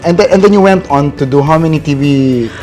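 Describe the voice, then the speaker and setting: A man hosting a talk show, friendly and quick to laugh, with plenty of fillers. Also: on the high side, dark tone. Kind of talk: casual interview chat. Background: music.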